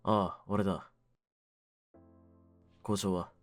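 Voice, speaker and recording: A man acts out a young mafia kidnapper in a low, cool voice. He talks roughly and deliberately, jaded and domineering with a hidden tenderness. The sound is close and dry, with faint music behind this audio drama. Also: level tone, dry recording. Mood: cold, resigned. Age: young adult. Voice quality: low-pitched. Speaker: voice actor in a situation voice drama. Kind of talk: Japanese situation-voice audio drama addressed to the listener.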